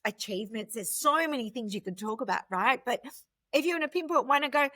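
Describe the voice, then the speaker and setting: A woman's voice: a little high, animated, brisk, bright, forceful tone, no room sound, studio quality. A woman with an Australian accent hosts a casual interview, speaking in an effusive and enthusiastic way. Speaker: podcast host.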